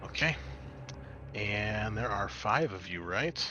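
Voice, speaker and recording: Male game master, bright forward voice, dramatic tabletop narration that drops into casual rules explanations. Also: on the low side, expressive, takes it slowly, highs cut off, a little hiss or hum. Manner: dramatic scene-setting mixed with casual rules talk.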